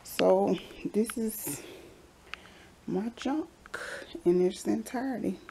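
A woman's voice: mid-range voice, slow pace, vocal fry, slight background noise, low-quality recording. A woman, chatting casually as she walks a handheld camera through her house, sometimes dropping into a whisper. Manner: chatty, relaxed, drops into whispers. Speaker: vlogger giving a house tour. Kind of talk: casual walkthrough narration. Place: basement and hallways of a house, indoors. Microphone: handheld camera held while walking.

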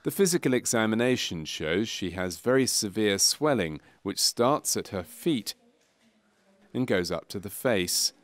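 Low-pitched male documentary narrator. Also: expressive, unhurried. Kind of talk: scripted narration of a medical training film.

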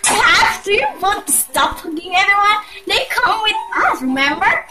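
A very high, young female voice acts out comic lines with swooping intonation. The delivery is halting and exclamatory, in a homemade recording under loud music. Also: lively intonation. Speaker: amateur comic dubber. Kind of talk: playful acting out of comic dialogue.

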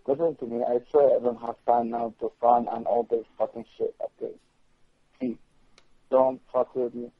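A man's voice: medium pitch, steady tone, moderate pace, dry recording. A man in an irritated phone conversation, curt and confrontational and swearing heavily. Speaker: prank call recipient. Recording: dull, dry telephone line.

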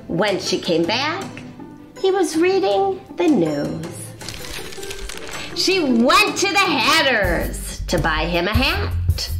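Animated, high-voiced woman reading to children. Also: echoey room. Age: middle-aged. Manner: expressive, deliberate, explains words for young listeners. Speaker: children's storytime host. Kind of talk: read-aloud picture-book storytelling for children. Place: small indoor classroom-style set.

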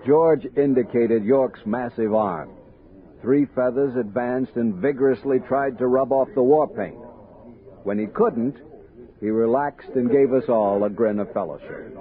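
An actor playing a thoughtful expedition captain who tells his story in a measured, literate way, as in 1960s radio drama. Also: medium pitch, phone-quality sound, close to an everyday voice. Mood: reflective. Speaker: narrator and actor. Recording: band-limited 1960s radio recording.

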